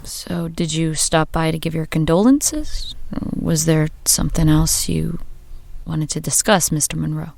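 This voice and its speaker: An actress playing a guarded grieving daughter, curt and sardonic. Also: female voice, deep voice, unhurried, gravelly, darkish tone, no echo, exaggerated voice. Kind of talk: audio drama acting.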